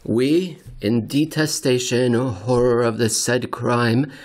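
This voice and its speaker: A man hosting a podcast, reading dramatically and commenting conversationally, with deliberate, theatrical phrasing and pauses for effect. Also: mid-range voice, fairly even intonation, a little mellow. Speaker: podcast host and reader.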